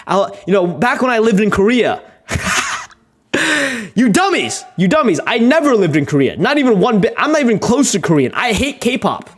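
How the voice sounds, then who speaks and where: A man's voice: big pitch swings, normal speed, slight background noise. A young man chatting casually on a livestream while he edits, rambling, joking at his own expense and swearing now and then. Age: young adult. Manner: rambling, self-mocking, swears casually, laughs often; joking, gets louder and higher when telling stories. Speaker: video creator live-streaming his editing.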